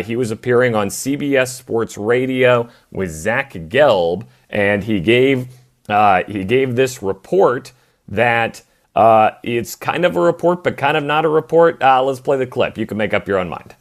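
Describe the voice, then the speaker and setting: A man hosting live sports talk radio, fighting a voice that is going, talking in restarts and quick asides. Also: mid-range voice. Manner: animated, restarting phrases. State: losing his voice. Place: radio studio.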